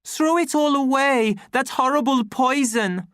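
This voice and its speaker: A reader playing an impassioned, naive young male outsider in an audiobook, declaiming in a loud, ringing way. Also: high-pitched, unhurried, smooth, no room sound, clean studio sound, voice pushed up. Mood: desperate pleading.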